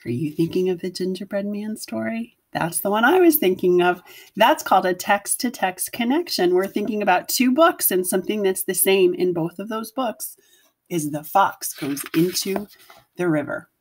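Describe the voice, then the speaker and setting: A woman's voice: mid-range voice, lively intonation, normal speed, darkish tone. A woman teacher reading a picture book aloud to children, explaining things simply and putting questions to her listeners.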